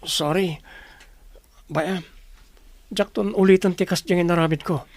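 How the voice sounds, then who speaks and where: Radio drama suitor, young, eager, apologetic sweet-talker. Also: male voice, high voice, steady tone, measured pace, no room sound. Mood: sheepish.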